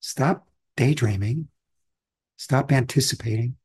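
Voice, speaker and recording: A man from Austria, a meditation teacher, giving a calm, reflective and conversational Zen dharma talk. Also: mid-range voice, takes it slowly, breathy edge.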